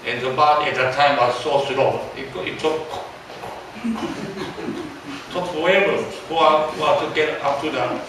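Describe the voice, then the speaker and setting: An older man in his seventies speaks with a Taiwanese accent, preaching in a halting, repetitive way and turning to his listeners with questions. His voice sits at a middle pitch and is picked up from a distance in a very echoey church hall, with clear noise underneath. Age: 70s. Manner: halting, repeats words, asks the audience questions. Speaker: pastor. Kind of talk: storytelling sermon.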